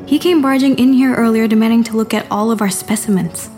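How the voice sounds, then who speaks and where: Audio drama role: brisk, wry female assistant played by a woman, slightly high voice, faint music behind. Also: a little mellow, lightly acted. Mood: gossipy, wry. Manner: cheeky, matter-of-fact.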